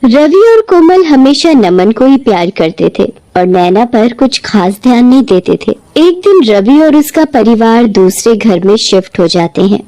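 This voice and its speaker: A woman narrates a children's cartoon story with a storyteller's lively manner. Her voice sits high but has a warm, mellow tone. She moves easily from scene to scene. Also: a little slow, hardly any pauses, rough recording. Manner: animated, shifts into character voices.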